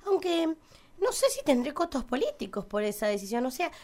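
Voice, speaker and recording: A woman acts out a scolding Argentine councillor in a satirical radio play. Her voice is high and swoops animatedly, emphatic and contrary though she wavers toward compliance. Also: sing-song, fairly quick, darkish tone. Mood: wavering.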